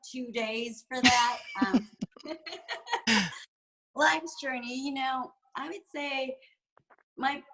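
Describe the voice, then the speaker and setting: Woman, 40s, from San Francisco; bright, forward voice; candid, reflective interview guest, loose and unscripted. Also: high-pitched, extremely slow, pauses often, slight background noise, rough recording. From San Francisco, USA. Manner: candid and reflective, with frequent fillers. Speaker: guest executive and entrepreneur. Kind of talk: conversational interview.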